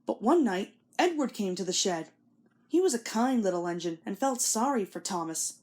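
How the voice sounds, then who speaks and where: A woman's voice: medium pitch, animated, moderate pace, a little mellow. A woman giving a scripted read-along narration of a children's story.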